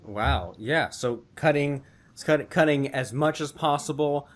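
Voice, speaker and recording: A man hosting a casual interview, summing up his guest's answers in a friendly, relaxed way. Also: on the high side, unhurried. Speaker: podcast host.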